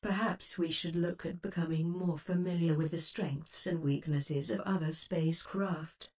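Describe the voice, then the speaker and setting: A woman's voice: on the low side, steady tone, darkish tone. A woman voicing a composed spaceship computer assistant in a game, speaking formally and precisely in scripted advisory lines. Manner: formal, measured, precise phrasing. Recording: slightly distant, noisy game-stream capture.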